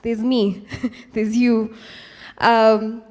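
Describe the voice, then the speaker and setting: A woman's voice: fairly high pitch, flat delivery, extremely slow, darkish tone. A young Kenyan woman in her twenties, speaking to a live audience in a chatty, casual way, mixing in Swahili phrases and plenty of ums. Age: 20s. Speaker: speaker at a youth event. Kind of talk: informal talk with slides to a live audience. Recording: hall with long reverb.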